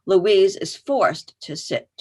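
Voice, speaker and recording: A woman's voice: medium pitch, lively intonation, takes it slowly, a little mellow, breathy edge. A woman reading the stage directions of a play as scripted narration.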